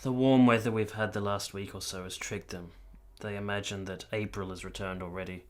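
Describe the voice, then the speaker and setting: Actor as earnest gentle soldier, male, lowish pitch, measured literary phrasing, period radio drama. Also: fairly even intonation, lightly acted. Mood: gentle, reassuring. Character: earnest, gentle young soldier narrating his own story. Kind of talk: first-person dramatic narration in a radio play.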